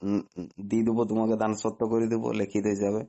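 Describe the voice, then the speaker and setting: Male, mellow and quick, insistent rambling complainer on a phone call. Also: fairly low pitch, monotone. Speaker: prank caller posing as phone customer. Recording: dull lo-fi phone call recording.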